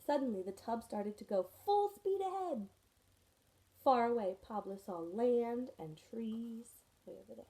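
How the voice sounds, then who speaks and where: A woman's voice: fairly high pitch, animated, a little slow, dark tone, rough recording. A woman teacher reading a children's picture book aloud to her students, storytelling expressively with friendly asides.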